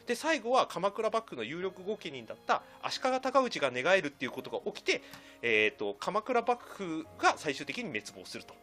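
A man explaining history casually as a panelist on a discussion show, lively and colloquial, voicing quotes as he goes. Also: high-pitched, moderate pace, few pauses. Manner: animated explainer, acting out quotes in colloquial speech.

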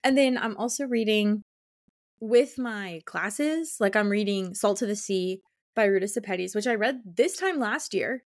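A woman's voice: medium pitch, a little slow. A woman co-hosting a casual book chat, talking informally and effusively with lots of "like". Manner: informal and effusive, heavy use of "like". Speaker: podcast co-host; school teacher.

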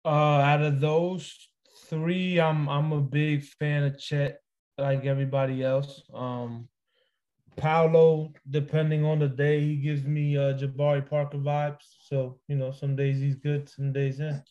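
A man, a first-time guest on a sports podcast, giving measured opinions and thinking aloud with fillers. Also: fairly high pitch, flat delivery, a little mellow, muffled recording. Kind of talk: casual sports analysis chat.

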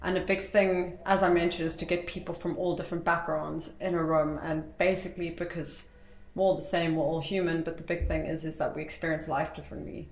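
A woman from South Africa talks through her work as an interview guest, circling back with fillers and restarts. Her voice sits a little low for a woman. The room around her is echoey. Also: level tone, a little fast. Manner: chatty, rambling, many fillers and restarts. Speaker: interview guest, tech entrepreneur. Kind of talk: casual interview talk.

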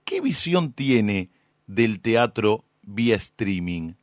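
An Argentine man presents a radio interview in a slightly low voice, recorded close and dry. He phrases his introductions and questions deliberately, with a formal, admiring manner. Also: sing-song, no echo. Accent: Argentine Spanish.

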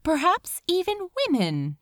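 A woman reading a story aloud as a dramatic, expressive narrator, drawing out words for emphasis. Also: high-pitched, a little slow, a little hiss or hum. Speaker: fan fiction reader.

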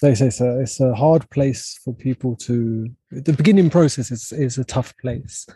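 A man hosting a podcast interview, speaking in a halting way, searching for words and restarting his sentences. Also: medium pitch, dark tone, husky, dry recording. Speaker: podcast host. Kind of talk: conversational interview.

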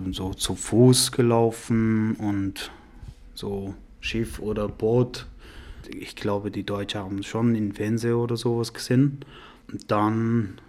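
A man in his twenties from Afghanistan with an Afghan accent, a non-native speaker telling his own story in halting, simple phrases as an interviewee. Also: on the low side, darkish tone. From Afghanistan and Iran. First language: not German. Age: 20s. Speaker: podcast interviewee. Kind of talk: conversational personal account.

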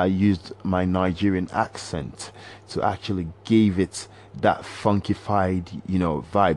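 A man hosting his own solo podcast, rambling and hesitant, full of uhs and restarts, swinging between animated outbursts and low mutters. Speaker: podcast host. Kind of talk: casual solo podcast monologue.

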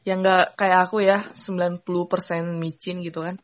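A young woman from Sumatra hosting a casual podcast chat, playful and quick to joke and laugh. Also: on the low side, flat delivery, no room sound, highs cut off. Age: young adult. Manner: playful, joking, laughs often. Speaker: podcast host.

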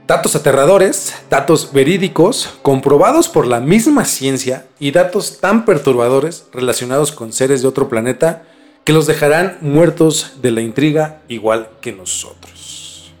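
A man's voice: on the high side, lively intonation, unhurried, darkish tone, soft background music. A man hosting a mystery podcast in a conversational presenting style. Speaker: podcast host.

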